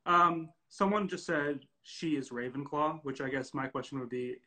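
A man's voice: fairly high pitch, normal speed, bright, forceful tone. A young man hosting a casual interview over a video call in an informal, easygoing way. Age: young adult. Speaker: interviewer, host. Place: indoor room at home, video call.

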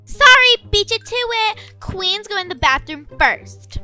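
A woman's voice: very high voice, lively intonation, unhurried, pushed and bright, full character voice. A woman voicing a spoiled, mean young girl with haughty, sneering taunts in an amateur gaming roleplay. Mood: smug. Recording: background music under the voice.

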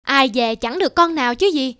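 A woman's voice: high-pitched, expressive, brisk, fairly bright tone, clear, resonant tone, no echo, studio quality. A woman voicing a scolding, exasperated peasant wife in a folktale cartoon, nagging and sarcastic. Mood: worried, nagging.

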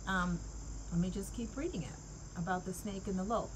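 Middle-aged woman, medium pitch, encouraging devotional talk, conversational, outdoor recording, heavy insect noise. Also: normal speed. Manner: earnest, encouraging, conversational with rhetorical check-ins. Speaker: devotional host and coach. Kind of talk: casual devotional talk with scripture reading. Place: outdoors in a leafy backyard garden. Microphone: clip-on lapel mic. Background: crickets and insects.

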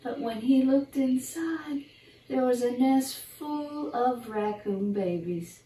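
An older woman reads a children's picture book in a gentle, unhurried, expressive bedtime style, talking to young listeners. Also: high voice. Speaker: storyteller reading a picture book. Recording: roomy, distant mic, faint background noise.

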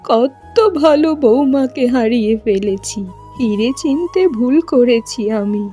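Woman as older village mother-in-law, cartoon, high voice, muted tone, plaintive, drawn-out exclamations. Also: mellow, faint music behind, close to an everyday voice. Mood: pleading, regretful. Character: older village mother-in-law, fretful, pleading, sly matchmaker. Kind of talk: cartoon voice acting.

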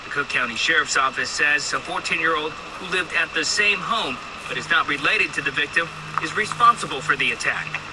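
A man's voice: on the high side, a little fast. A man in his thirties reporting live on TV from outside a hospital at night. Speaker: TV field reporter. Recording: TV broadcast replayed, noisy.

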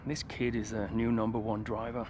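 An actor playing an insecure, weary middle-aged racing driver in a video game cutscene. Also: male voice, lowish voice, monotone, normal speed, a little mellow, a little hiss or hum, lightly acted. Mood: sullen, defensive.

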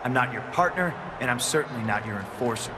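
An actor playing a disdainful, self-righteous wrestler in a video game, speaking with deliberate scorn. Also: male voice, medium pitch, animated, background noise, natural voice. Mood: contemptuous.

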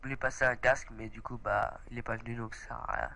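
Young guy chatting over his game, stuffy nose, bright voice, lots of waouh, faint noise. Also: medium pitch, quick pace, gravelly. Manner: excitable, chatty, often exclaims. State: a bit stuffy from a cold. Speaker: gaming channel host. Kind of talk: casual let's play chat.

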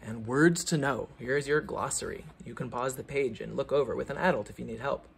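A man reading a children's science book aloud like a teacher, with theatrical emphasis and clear explanatory phrasing. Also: medium pitch, a little fast.

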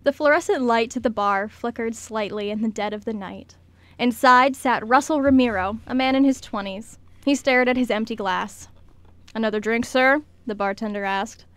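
Young woman, American accent, higher-pitched voice, dry close studio sound, radio co-host. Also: normal speed, fairly bright tone, no echo. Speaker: radio show co-host and script reader.

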